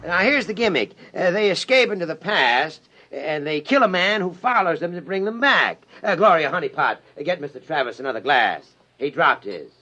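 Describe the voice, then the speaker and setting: An actor in a 1950s radio drama playing a glib schemer who poses as a film producer to trap a couple. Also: male voice, on the high side, lively intonation, normal speed, few pauses, sharp tone. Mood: coaxing. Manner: glib, wheedling patter that turns to barked orders. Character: smooth-talking scheming male time searcher posing as film producer. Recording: dull old radio transcription with faint hiss.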